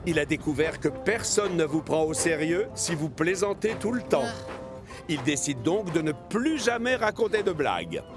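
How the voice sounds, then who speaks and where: A man reading scripted French narration for a children's cartoon, expressive, as if telling kids a story. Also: fairly high pitch, normal speed. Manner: expressive, addressing children directly. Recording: music behind the voice.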